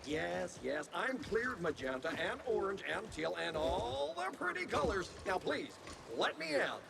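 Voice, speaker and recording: A man's voice: high-pitched, big pitch swings, a little slow, vocal fry, heavily acted. An actor playing an exasperated, rambling man who pleads with a machine in a video game. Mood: exasperated, pleading. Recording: loud ambient noise behind voice.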